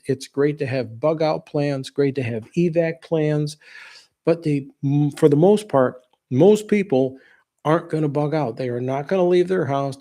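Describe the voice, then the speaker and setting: A man's voice: mid-range voice, mellow. A man of about sixty hosting a casual livestream, talking in a plainspoken, folksy way and rambling into asides. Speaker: livestream host.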